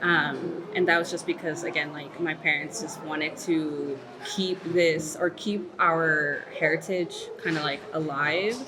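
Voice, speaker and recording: A woman's voice: deep voice, fairly even intonation, measured pace, hardly any pauses, crowd noise. A woman in her thirties, born in Mexico and raised in Texas, talking thoughtfully in an interview with lots of "like" and "um" fillers. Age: 30s. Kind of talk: candid reflective interview.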